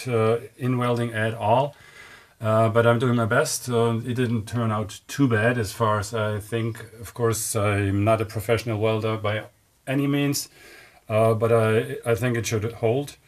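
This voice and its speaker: A man's voice: mid-range voice, little pitch movement, unhurried. A middle-aged man with a German accent talks to a close camera from inside a truck cab. He explains things step by step at an easy pace, stopping often for ums and uhs. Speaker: vlogger building a camper. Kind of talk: casual DIY update to camera.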